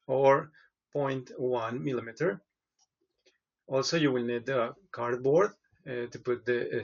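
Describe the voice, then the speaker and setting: Male tutorial presenter, husky and unhurried, halting step-by-step instruction. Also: mid-range voice, highs cut off. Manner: halting, hesitant delivery with frequent pauses.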